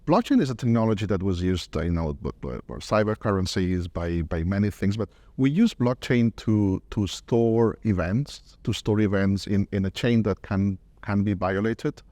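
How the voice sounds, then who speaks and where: A middle-aged man being interviewed at a conference, speaking haltingly and often repeating his words. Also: fairly low pitch, lively intonation, dark tone, no echo. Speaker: interviewed corporate marketing director. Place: medium conference room, indoors.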